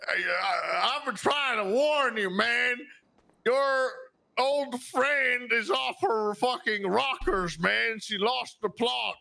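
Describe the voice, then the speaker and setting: A man's voice: extremely high pitch, slow, creaky, pushed and bright, full character voice. A man playing an agitated role-play character who talks urgently and keeps saying "man". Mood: upset. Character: rattled adult man named Chuck, panicky.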